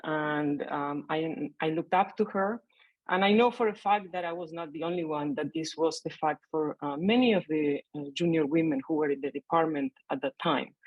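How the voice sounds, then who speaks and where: Middle-aged woman, lowish voice, dry sound, chatty and halting, joining a panel by video call. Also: no room sound, muffled recording. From Argentina. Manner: conversational, hesitant with many fillers. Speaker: panelist, mathematician. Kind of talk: remote panel remarks.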